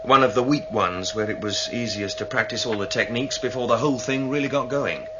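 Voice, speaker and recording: A man's voice: medium pitch, fairly quick, lightly acted. A man playing a sceptical, practical starship crewman in a 1980 sci-fi radio drama, dismissive and matter-of-fact. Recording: muffled, noisy old radio recording.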